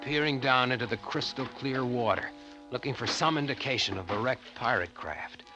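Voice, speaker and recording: Earnest radio-drama diver over loud music, played by a man. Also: medium pitch, close to an everyday voice, low-quality recording. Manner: dramatic, deliberate storytelling. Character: earnest treasure-hunting diver recounting his eerie adventure. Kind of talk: 1940s radio suspense narration and acting.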